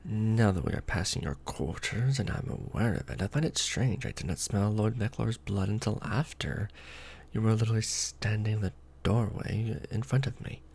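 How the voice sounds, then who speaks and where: A man playing a courtly, protective, brooding vampire lord in a soft, formal ASMR romantic roleplay. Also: on the low side, gravelly, breathy edge. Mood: pensive, suspicious. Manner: formal, archaic wording, slow and hushed.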